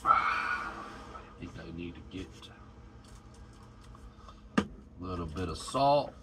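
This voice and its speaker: A man's voice: low-pitched, takes it slowly, very noisy. A man with an American accent, a truck driver chatting casually to camera while he cooks in his cab. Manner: relaxed, rambling, talks to camera while cooking. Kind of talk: casual vlog chat while cooking. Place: inside a truck cab at a truck stop.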